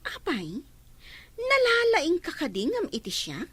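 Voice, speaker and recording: A woman's voice: very high-pitched, wildly swooping pitch, a little slow, fairly bright tone, dry recording, exaggerated voice. A woman playing a spoiled, demanding, sneering young woman who bullies her household in an Ilocano radio drama. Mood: angry, sneering. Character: spoiled, bossy young woman bullying sibling and maid.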